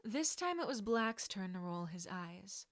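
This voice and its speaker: A woman's voice: mid-range voice, very animated, unhurried, no echo. Woman narrating fiction, dry close sound. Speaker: podfic narrator.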